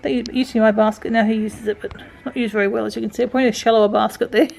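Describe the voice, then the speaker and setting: An Australian woman in her forties with a croaky voice, talking casually and wearily through her day at home in a vlog. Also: fairly high pitch, steady tone, racing, darkish tone, slight background noise. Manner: tired, rambling, self-talking. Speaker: vlogger. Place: indoors at home.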